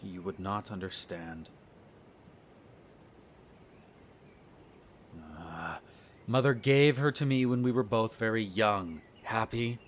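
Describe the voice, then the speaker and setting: Man playing a haughty, low-voiced vampire lord. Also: unhurried, a little hiss or hum, close to an everyday voice. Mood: condescending, amused. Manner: condescending, haughty, formal drawl. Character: smug, cruel male vampire noble, haughty and teasing. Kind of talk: scripted audio roleplay acting.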